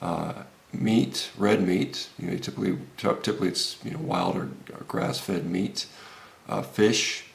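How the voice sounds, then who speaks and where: American guy in his 50s talking off the cuff, really gravelly voice, stops and starts a lot, echoey room. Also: deep voice. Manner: halting, with frequent hesitations and repeated words. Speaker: health vlogger. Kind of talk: informal unscripted video commentary. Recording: roomy, echoey room sound.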